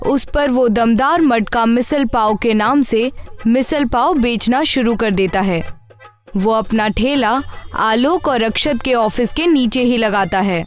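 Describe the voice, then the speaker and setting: A woman narrating a scripted animated tale as a storyteller. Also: on the high side, slow, faint music behind.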